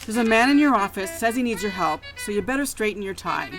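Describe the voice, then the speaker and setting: A woman's voice: mid-range voice, lively intonation, quick pace, natural voice. A woman playing a sassy, flirtatious, wisecracking secretary in a film noir radio comedy. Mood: sassy, flirty. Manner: teasing, wisecracking. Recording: loud music behind the voice.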